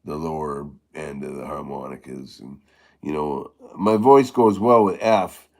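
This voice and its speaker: A middle-aged man with a very deep, low voice talks slowly and loosely in a casual stream chat. He rambles, stalls on fillers and restarts his sentences as he goes. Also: vocal fry. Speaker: harmonica player giving tips.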